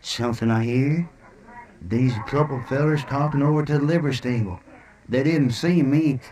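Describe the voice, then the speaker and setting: Male actor as shabby frontier barfly informant, American, mid-pitched, eager, halting country talk, old radio Western. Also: darkish tone, slight background noise, natural voice, rough recording. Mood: eager, anxious. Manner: rustic, stammering. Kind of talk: 1950s Western radio drama acting.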